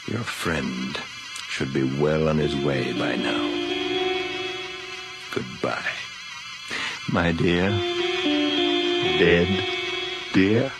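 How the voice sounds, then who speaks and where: An actor playing a vengeful, gloating, murderous husband in a horror radio drama, with a menacing, drawn-out delivery. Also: male voice, a bass voice, exaggerated voice. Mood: gloating menace. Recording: vintage radio drama with music behind.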